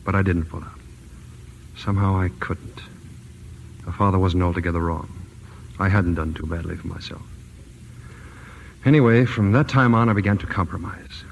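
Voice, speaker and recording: An actor playing a proud but henpecked young husband in old-time radio drama style, earnest and frustrated. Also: male voice, low voice, a little hiss or hum, rough recording.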